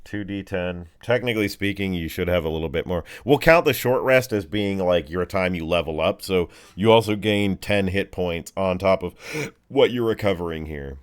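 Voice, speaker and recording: A man's voice: low voice, normal speed, hardly any pauses, smooth. A man casually running a tabletop game as game master, narrating and talking through rules.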